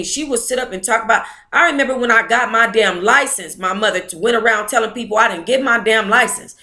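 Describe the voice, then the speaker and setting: A woman's voice: mid-range voice, bright, forceful tone. A woman hosting an online book club, reading aloud and commenting in a blunt, informal, opinionated way.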